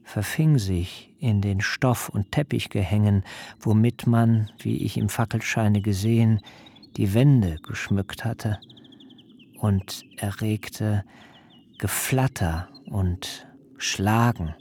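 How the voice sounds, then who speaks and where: An actor playing Jakob, a young bridegroom, telling of his wedding night in a measured, hushed, intimate radio-drama reading. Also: lowish voice. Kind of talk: literary radio drama, first-person narration.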